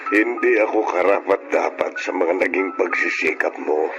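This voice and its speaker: A man's voice: low-pitched, normal speed, dull audio, music in the background, lightly acted. An actor with a Filipino accent playing a proud, wayward middle-aged father in a radio drama. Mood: remorseful, tender. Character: proud wayward older father, gruff, later remorseful. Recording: noisy radio drama recording.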